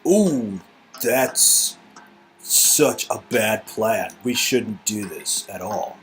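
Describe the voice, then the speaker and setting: A man's voice: on the low side, expressive, very slow, a little hiss or hum, low-quality recording. A man casually performing game dialogue in a hesitant, rambling, comic way, often repeating words. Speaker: performer reading game script.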